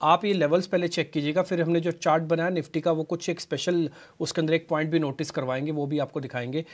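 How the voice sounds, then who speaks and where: A man with a slightly high voice walks viewers through chart levels on live TV. He speaks in an instructive way, telling the audience what to watch. He is on a lapel mic in a studio. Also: fairly even intonation, quick pace. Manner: instructive, addresses viewers directly. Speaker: market analyst. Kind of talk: technical chart analysis on live TV. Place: TV news studio.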